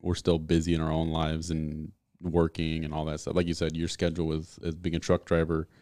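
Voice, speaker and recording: A man's voice: extremely low pitch, completely flat delivery, a little fast, darkish tone, no room sound, clean studio sound. A young man co-hosting a casual podcast with a friend, easygoing and self-assured. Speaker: podcast co-host. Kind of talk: casual chat.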